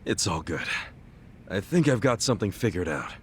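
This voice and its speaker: A scrappy middle-aged gangster hero with a lowish voice. He is blunt and heartfelt, a loyal type from a video game drama, recorded over faint ambient noise. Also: big pitch swings, normal speed. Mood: quiet, assured. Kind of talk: video game cutscene dub acting.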